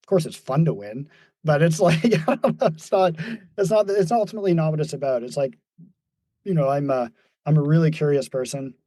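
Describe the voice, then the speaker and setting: Mellow-voiced man in his forties. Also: fairly high pitch, expressive, moderate pace, no room sound. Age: 40s. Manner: thoughtful, many fillers and restarts, laughs easily. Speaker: podcast guest, mountain runner. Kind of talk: reflective casual conversation.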